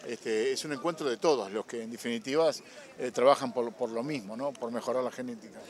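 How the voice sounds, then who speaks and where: A man's voice: medium pitch, a little fast. A man with a Uruguayan accent interviewing people conversationally outdoors. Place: outdoors at a showground.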